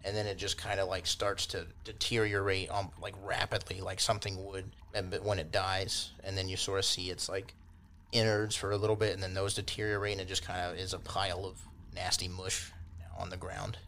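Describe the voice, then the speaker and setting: A low-pitched man narrates a tabletop fantasy game as game master. He talks informally and makes up descriptions as he goes, with faint noise and watery ambience behind him. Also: little pitch movement, fairly quick, bright, forceful tone. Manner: informal, loosely improvised descriptions.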